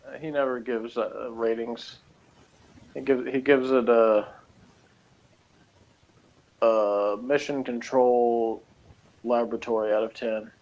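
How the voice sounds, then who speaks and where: A man's voice: mid-range voice, steady tone, slow, long pauses, darkish tone. A man co-hosting a casual review chat, with a dry, teasing way of talking. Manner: dry, teasing, laughs often. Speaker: podcast co-host. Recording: dull lo-fi sound.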